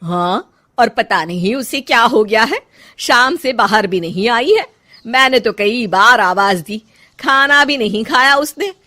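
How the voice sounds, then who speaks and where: A woman playing a bossy middle-aged mother-in-law in a cartoon, loud and commanding. Her voice rises and falls a lot as she fusses and orders people around. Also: a little high, lively intonation, a little slow, full character voice. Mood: indignant.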